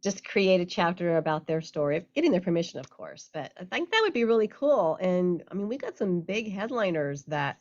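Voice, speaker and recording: Lady co-host on a radio talk show, excited and chatty, kinda muffled lo-fi sound. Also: fairly low pitch, brisk, hardly any pauses. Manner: enthusiastic, upbeat. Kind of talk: casual chat and guest introduction.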